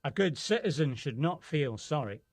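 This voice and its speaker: A woman's voice: low-pitched, expressive, normal speed, no echo, pitched below the performer's own voice. A woman voicing a solemn, bloodthirsty male revolutionary who relishes cruelty. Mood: gloating.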